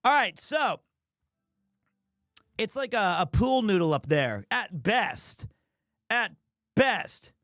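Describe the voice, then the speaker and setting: A man's voice: high-pitched, big pitch swings, slow pace, pauses often, fairly bright tone, dry recording, studio quality. A man hosting a livestream with casual, joking, self-deprecating rambling. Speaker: streamer, host. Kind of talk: casual livestream chat with viewers.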